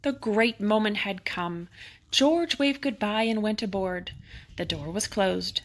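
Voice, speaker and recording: A woman narrating a children's bedtime picture book expressively, with dramatic emphasis on exciting moments. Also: mid-range voice, measured pace.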